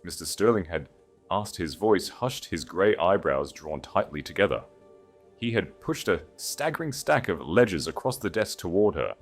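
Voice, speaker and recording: Deep-voiced, expressive male story narrator. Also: faint music behind. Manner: measured, literary, solemn phrasing. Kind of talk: dramatic scripted audiobook narration.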